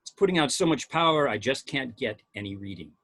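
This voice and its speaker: A man's voice: mid-range voice, expressive, a little fast, vocal fry, fairly bright tone, slightly rough, full character voice. A man playing a coolly dismissive spaceship science officer in an amateur table read, matter-of-fact and unemotional. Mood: puzzled reporting.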